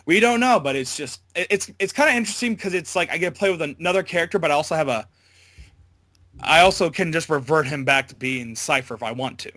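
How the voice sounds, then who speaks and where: Bright-voiced man chatting in an interview. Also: a little high, expressive, fast talker, no echo. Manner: hesitant, rambling, informal. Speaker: amateur voice actor being interviewed. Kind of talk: casual interview chat.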